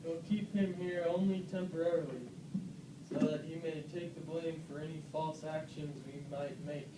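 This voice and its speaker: Man acting commanding animal plotter, puppet play, slightly high voice, echoey room. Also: steady tone, moderate pace, close to an everyday voice. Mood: scheming, commanding. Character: scheming male animal leader, Antony, commanding. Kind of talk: amateur read-aloud puppet play. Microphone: far camera. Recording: echoey classroom, distant mic, loud noise.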